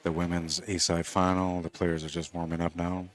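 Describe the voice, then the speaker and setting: A man giving relaxed, conversational live commentary on a pool match, talking quietly and low. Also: normal speed.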